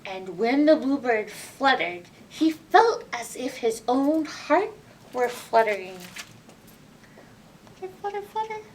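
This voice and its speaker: A woman reading a picture book aloud to children as a library storyteller, expressive and often putting questions to her listeners. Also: high voice, slow. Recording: distant mic with background noise.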